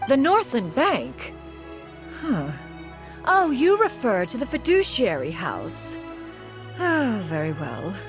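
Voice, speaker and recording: A woman's voice: on the high side, huge pitch swings, takes it slowly, pauses often, over music, exaggerated voice. A woman voicing a refined, dignified female collector who speaks in a lofty, archaic way, in a video game. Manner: archaic, lofty and elegant speech.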